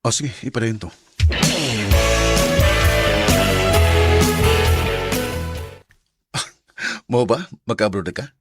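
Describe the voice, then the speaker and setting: A man's voice: fairly low pitch, huge pitch swings, very slow, vocal fry, pauses often, music in the background, full character voice. An actor with a Visayan Filipino accent playing a married woman's suitor in a radio drama. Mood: attentive.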